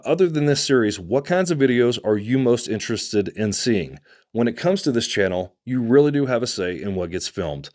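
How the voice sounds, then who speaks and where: A man from Texas with a Texan accent, hosting a casual talk to camera, conversational and unhurried, with fillers and restarts. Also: on the low side, darkish tone. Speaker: host.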